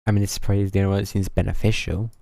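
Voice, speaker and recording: A man's voice: fairly low pitch, level tone, very fast, creaky, warm tone. A man giving casual live game stream commentary, muttering to himself in a dryly wry way.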